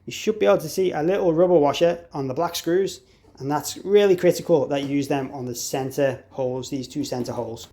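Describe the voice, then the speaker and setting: A man's voice: a little high, fairly quick, dark tone. A man giving an informal, explanatory hands-on product tutorial as an installer. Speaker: installer demonstrating an intercom product.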